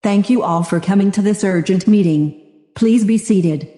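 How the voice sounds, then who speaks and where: A woman's voice: mid-range voice, dark tone, natural voice. Synthetic-sounding woman as formal official in an echoing hall. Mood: grave, formal. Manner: formal, measured. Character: female government official briefing an emergency meeting, grave, formal. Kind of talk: scripted briefing speech. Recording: echoey hall reverb.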